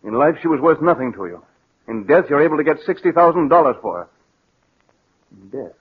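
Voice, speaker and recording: Haunted suspicious guy in a 40s radio play, highish husky voice, tinny old recording. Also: very animated, fairly quick. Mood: bitter. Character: guilt-haunted wealthy man turning suspicious and insistent. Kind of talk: 1940s radio drama acting. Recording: muffled vintage 1940s radio recording.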